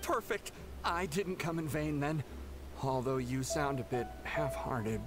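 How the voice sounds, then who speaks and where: An actor playing a pushy young pilgrim who turns impatient and dismissive. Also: male voice, a little high, unhurried, natural voice. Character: pushy young male pilgrim seeking blessings. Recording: game audio with music behind. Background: music.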